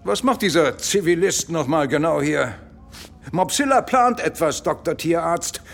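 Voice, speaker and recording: An actor playing a stern, impatient military commander with a raspy voice in a comic sci-fi radio play. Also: male voice, a little high, lively intonation, measured pace, heavily acted. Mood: suspicious, irritated. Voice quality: raspy, gravelly. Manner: barking, formal military diction.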